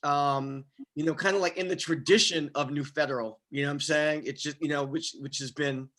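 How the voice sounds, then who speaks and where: A man's voice: a little high, steady tone, brisk, a bit bright. A man giving casual feedback on a video call, rephrasing and repeating his words as he goes. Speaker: audience member giving feedback. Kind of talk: casual spoken praise. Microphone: video call microphone.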